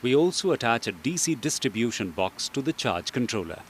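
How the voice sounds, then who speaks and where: A man reading scripted instructional narration in a measured, explanatory way. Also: mid-range voice, very animated, a little hiss or hum. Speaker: training film narrator. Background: background music.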